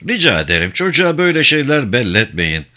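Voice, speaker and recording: A man playing a courteous but stern gentleman prisoner in a novel, formal, measured and admonishing. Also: medium pitch, big pitch swings.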